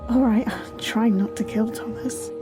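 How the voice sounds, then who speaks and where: A woman's voice: fairly high pitch, fairly even intonation, measured pace, warm tone, faint music behind, natural voice. A young woman playing a level-headed, dryly teasing college student in an amateur audio drama. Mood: wry, friendly.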